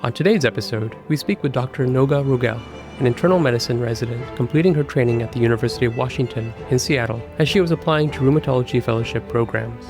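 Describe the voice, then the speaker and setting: Man hosting a podcast over faint music. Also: medium pitch. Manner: measured, formal delivery. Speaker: physician and podcast host. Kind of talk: scripted intro and interview questions.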